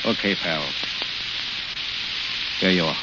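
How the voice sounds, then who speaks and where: An actor in a vintage radio drama playing a tough gangster boss on the run, curt and commanding in clipped phrases. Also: male voice, low-pitched, slow pace. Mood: menacing. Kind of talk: 1930s-40s radio gangster acting. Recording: very noisy, muffled old radio recording.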